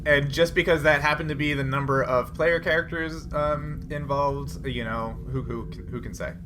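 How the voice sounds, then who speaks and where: A man's voice: fairly high pitch, fairly even intonation, normal speed. A man acting as game master in a casual tabletop session, with an amused, easygoing manner. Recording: noticeable background noise.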